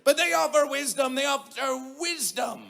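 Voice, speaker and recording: A man's voice: extremely high pitch, moderate pace, creaky, fairly bright tone. A man emceeing at a music festival, making grand sing-song proclamations to the crowd over a stage microphone. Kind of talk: theatrical stage announcing.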